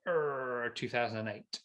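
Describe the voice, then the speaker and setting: A man's voice: mid-range voice, very slow, lo-fi sound, a little hiss or hum. A man co-hosting a podcast, sounding stuffy and nasal from hay fever, rambling with stammered restarts and frequent laughter. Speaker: podcast co-host. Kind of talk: casual chat.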